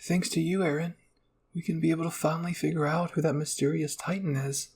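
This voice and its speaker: A man's voice: fairly high pitch, fairly even intonation, brisk, gravelly, darkish tone, a little hiss or hum. A man voicing an earnest, easily flustered young woman soldier in an amateur anime roleplay.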